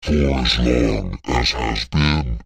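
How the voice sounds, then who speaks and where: Ancient, abyssal-deep raspy spirit creature. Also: male voice, no echo, full character voice. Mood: ominous. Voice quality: dry, raspy. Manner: slow, cryptic pronouncements. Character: ancient, dry-voiced swirling serpentine spirit creature, menacing and possessive.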